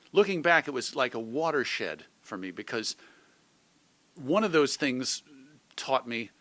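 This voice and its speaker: A man's voice: mid-range voice, fairly bright tone, dry recording. A middle-aged man with an American accent, talking reflectively and articulately as a guest in a conversational interview. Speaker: interview guest, radio host and editor.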